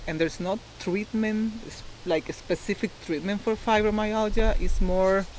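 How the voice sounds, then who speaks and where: A woman's voice: medium pitch, steady tone, moderate pace, a little mellow, poor audio. Female interview guest, Costa Rican Spanish accent, candid and explanatory storytelling, faint background noise. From Costa Rica. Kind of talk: personal storytelling in casual interview.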